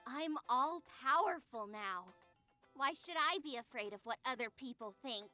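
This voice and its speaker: A woman's voice: high-pitched, expressive, unhurried, sharp tone, faint music behind, full character voice. A woman playing a defiant middle-school magical girl in a visual novel, intense, self-righteous and set on vengeance. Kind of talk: voiced visual novel acting.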